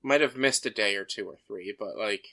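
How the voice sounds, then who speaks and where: A man's voice: fairly low pitch, fairly quick, sharp tone. A man co-hosting a podcast, chatting loosely with lots of fillers and running through the night's agenda. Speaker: podcast co-host. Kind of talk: casual chat.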